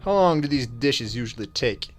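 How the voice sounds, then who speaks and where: A man's voice: medium pitch, lively intonation, moderate pace, faint music behind, natural voice. Man playing a firm, businesslike detective. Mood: probing, matter-of-fact. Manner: firm, matter-of-fact. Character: weary, businesslike male detective questioning suspects. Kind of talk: radio drama acting.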